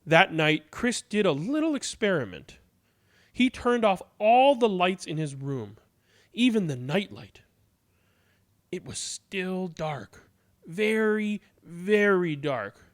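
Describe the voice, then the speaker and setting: A man reading a children's story aloud in an expressive, dramatic way, drawing words out deliberately. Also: high-pitched.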